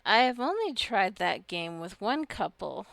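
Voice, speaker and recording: Female gameplay commentator, chatty and informal, thinking out loud; slow delivery, dry close sound. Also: mid-range voice, big pitch swings, gravelly, dry recording. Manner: chatty, thinking aloud to herself and viewers. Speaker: gaming video host. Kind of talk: casual rambling gameplay commentary.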